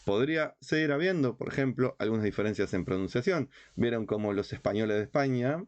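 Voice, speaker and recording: A man's voice: mid-range voice, expressive, a little fast, dull audio. A man from Uruguay with a Rioplatense accent, teaching religious history as a rabbi in an informal, didactic and emphatic lecture style. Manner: didactic, emphatic, with rhetorical questions and repetition.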